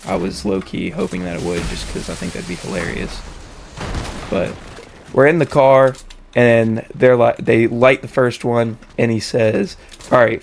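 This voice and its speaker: A young man tells a casual story in a creaky, fry-laden voice, slightly hoarse from a head cold. His talk is slangy and full of swearing. There is a faint wash of noise behind him. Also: mid-range voice. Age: young adult. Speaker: storytelling host.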